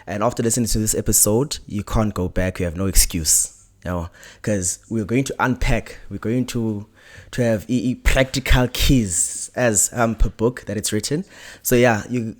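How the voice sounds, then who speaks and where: A young South African man hosting an interview podcast, speaking hesitantly with many restarts. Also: lowish voice. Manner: hesitant, many ums and restarts, soft-spoken. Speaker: podcast host.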